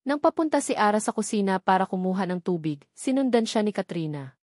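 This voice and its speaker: Female narrator for romance audio drama: scripted storytelling, medium pitch, very dry sound. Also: animated, a little fast, darkish tone, no echo.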